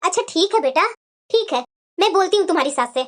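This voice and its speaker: A woman playing an older, sensible and indulgent mother in a scripted family story, soothing and gently reasoning. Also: very high voice, expressive, quick pace.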